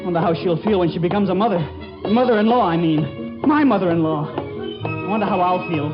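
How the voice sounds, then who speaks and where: A man's voice: sits high, expressive, fairly quick. An actor playing a nervous, quippy young man forever flustered in a 1940s radio comedy. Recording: dull 1940s radio recording, music behind.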